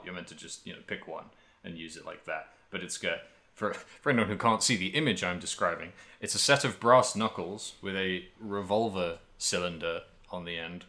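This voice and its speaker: A man's voice: deep voice, sharp tone. A man hosting a casual video game podcast, talking in rambling riffs with some swearing. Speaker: podcast host.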